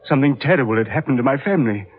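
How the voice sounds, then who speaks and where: An actor playing a distraught, horror-struck English gentleman, faltering in old-time radio drama style. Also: mid-range voice, lively intonation, very fast, lightly acted. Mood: shaken, earnest. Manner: agitated, faltering, melodramatic. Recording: muffled vintage radio recording, faint noise.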